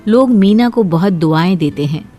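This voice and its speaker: A woman narrating a moral cartoon story in a steady, clear storytelling manner. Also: medium pitch, moderate pace, soft background music.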